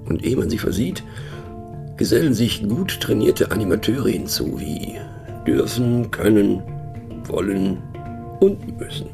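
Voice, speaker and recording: An actor playing a musing, mocking train passenger in a radio play, talking ponderously with hushed asides and a creaky voice. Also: male voice, fairly low pitch, animated, measured pace, pauses often, over music. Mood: irritated, mocking.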